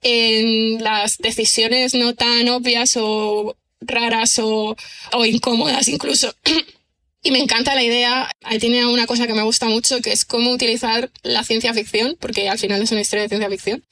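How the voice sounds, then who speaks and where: A woman's voice: fairly high pitch, little pitch movement, normal speed, sharp tone. A woman answers casually as a podcast guest, chatty and self-deprecating, thinking aloud and often checking in with the listener. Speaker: podcast guest, writer and screenwriter. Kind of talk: casual conversational interview answers.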